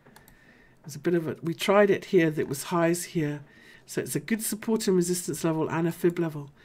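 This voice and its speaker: A woman with a deep voice, hosting a live market analysis show. She talks casually and thinks aloud as she goes. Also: normal speed, darkish tone. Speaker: host.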